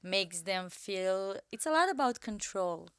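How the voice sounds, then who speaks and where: Slow, soft-spoken woman guest. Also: mid-range voice, animated, clear, resonant tone, no echo, clean studio sound. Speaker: podcast guest. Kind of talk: casual interview chat.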